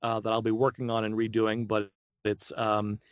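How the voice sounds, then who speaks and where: A man talking casually as a voice actor guest on an interview show, chatty and telling stories in an animated way. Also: fairly low pitch, normal speed, clear, resonant tone, dry recording, clean studio sound.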